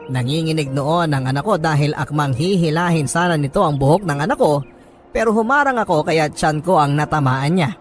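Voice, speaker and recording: A man with a fairly high voice delivers dramatic radio narration as a storyteller. He is solemn and reflective, with faint music underneath. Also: a little fast. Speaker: storyteller reading a listener's letter.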